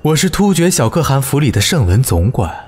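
An actor playing a proud, guarded former general turned steward in a historical audio drama, speaking curtly and formally. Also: male voice, mid-range voice, very animated, brisk, darkish tone, slight background noise, natural voice. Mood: cold, scornful. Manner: curt, formal.

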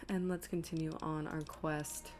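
Low-pitched woman chatting through a game stream. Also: level tone, a little slow, darkish tone. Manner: chatty, thinking aloud to herself and viewers. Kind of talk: casual live gameplay commentary.

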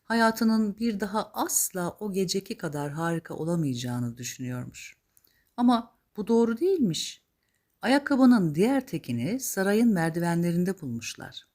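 A woman narrating a scripted children's fairy tale in an expressive, dramatic storytelling manner. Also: mid-range voice.

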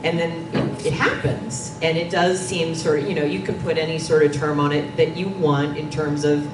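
Low-voiced woman presenting in an echoey room. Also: fairly even intonation, moderate pace. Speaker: panel presenter. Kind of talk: informal conference presentation. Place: dim conference meeting room. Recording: distant, echoey, noisy room recording.

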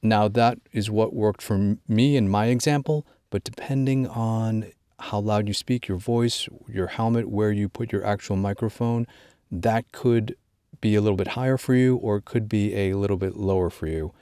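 A man presenting an informal instructional product walkthrough, drawing out key words in a measured way for emphasis. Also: lowish voice, mellow, clean tone, no echo, studio quality. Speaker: gear tutorial presenter.

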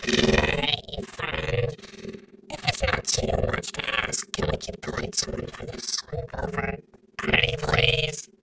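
A man voicing an anxious, pleading sun jester animatronic in a fan comic dub, with drawn-out, stammering delivery. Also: fairly high pitch, very animated, gravelly, heavily acted. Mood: nervous pleading. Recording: noisy amateur mic, slightly distant.

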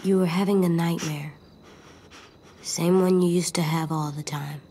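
AJ, a solemn, creaky-voiced little boy. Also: fairly low pitch, steady tone, measured pace, slight background noise. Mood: subdued, earnest. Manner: quiet, earnest, guarded. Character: solemn, stubborn, anxious little boy survivor. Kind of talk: video game dramatic acting.

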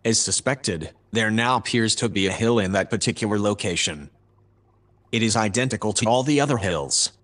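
A man playing a calm, formal artificial intelligence, reporting in a measured, dispassionate way in a sci-fi audio drama. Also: on the low side. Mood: detached.

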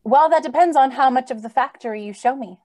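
A woman's voice: high-pitched, fast talker, darkish tone. A young woman playing a polite, curious and refined lady visitor in old-time radio drama style.

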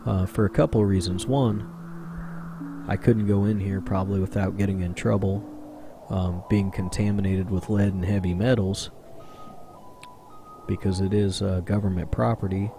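A man's voice: low-pitched, fairly even intonation, moderate pace, long pauses, over music. A man doing casual voice-over narration for his own exploring video, rambling and chatty with plenty of fillers. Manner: rambling, conversational, with many fillers. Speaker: urban explorer vlogger.

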